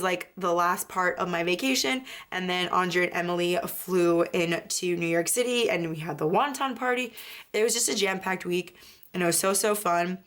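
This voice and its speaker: A woman in her twenties chatting casually about her weeks in a planner flip-through, rambling and correcting herself as she goes. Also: medium pitch. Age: 20s. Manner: rambling, self-correcting, lots of "like" and "um". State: recovering from illness, voice partly lost. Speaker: planner vlogger.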